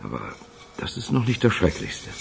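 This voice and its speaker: A man acting a gruff, experienced police chief under strain in a German radio crime drama. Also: on the low side, wildly swooping pitch, moderate pace, exaggerated voice. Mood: grave.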